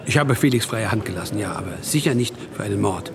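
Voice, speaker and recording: An actor playing a smooth, calculating male film producer who answers questions evasively in a radio play. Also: fairly low pitch, animated, quick pace, crowd noise. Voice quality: suave, evasive.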